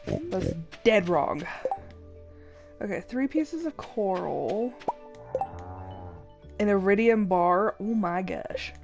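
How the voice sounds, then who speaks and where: A woman's voice: mid-range voice, animated, takes it slowly, long pauses. A young adult woman streaming a game while congested and a little sick, chatting casually and apologizing for her voice. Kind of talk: casual gameplay commentary. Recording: game music behind voice.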